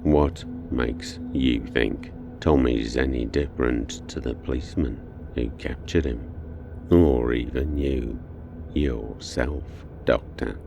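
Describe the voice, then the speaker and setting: A demonic horror-drama villain speaks from a bottomless, gravel-filled register. The words come slowly, with taunting contempt and quiet menace, amid clear background noise. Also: male voice, fairly even intonation, heavily acted. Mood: accusing, contemptuous. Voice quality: very deep, gravelly. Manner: slow, deliberate, taunting, menacing. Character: demonic presence in a condemned murderer boy, taunting, contemptuous. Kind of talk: audio horror drama acting.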